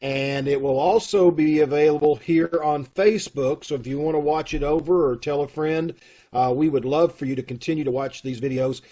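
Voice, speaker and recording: A man's voice: fairly high pitch, fairly even intonation, moderate pace, warm tone. Kentucky guy rambling thru announcements on a zoom call, folksy, lots of uhs. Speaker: theater troupe leader. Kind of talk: casual announcements. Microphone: video call.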